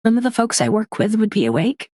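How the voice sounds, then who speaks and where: A woman giving a dramatic reading of a horror story, measured and storytelling, dropping low and quiet at the tense moments. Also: big pitch swings, no room sound, studio quality. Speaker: narrator.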